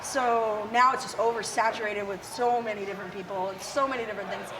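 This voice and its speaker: A woman from South Dakota with a gravelly, raspy voice, chatting casually and laughing now and then as an interview guest. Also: mid-range voice, steady tone, fast, background noise. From South Dakota, USA. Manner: chatty and self-deprecating, laughs often. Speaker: musician guest. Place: outdoors at a windy motorcycle expo.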